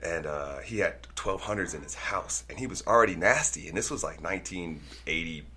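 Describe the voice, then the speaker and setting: A man's voice: low voice, animated, fairly quick, pushed and bright. A man in his forties from Denver, a guest DJ telling stories in casual podcast chat, laid-back and laughing easily. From Denver, Colorado, United States. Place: small studio room crowded with posters. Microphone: desk microphone on a stand.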